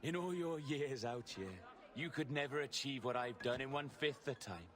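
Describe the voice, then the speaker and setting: An actor playing a ruthless, charismatic pirate captain with a theatrical, mocking delivery in a game cutscene. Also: male voice, mid-range voice, moderate pace, lightly acted. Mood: menacing. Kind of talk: video game cutscene acting.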